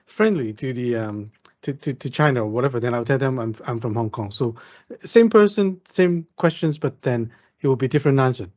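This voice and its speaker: Guy from vietnam chiming in, stop-start with lots of ums, muffled audio. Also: medium pitch. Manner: hesitant, many restarts and fillers, laughs. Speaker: participant. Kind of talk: casual chat.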